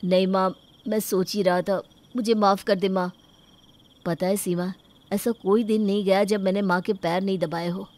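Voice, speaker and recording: A woman's voice: medium pitch, fairly even intonation, normal speed, a little mellow, close to an everyday voice. A woman voicing a young husband in a moral story, a whiny, two-faced mama's boy who sounds showily dutiful. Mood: apologetic. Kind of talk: acted Hindi moral-story dialogue.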